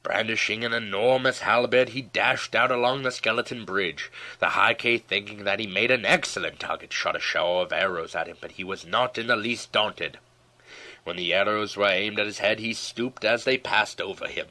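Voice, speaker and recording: A man reading a historical tale as an audiobook narrator, with a measured, dramatic storytelling delivery. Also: lowish voice, pushed and bright, rough recording.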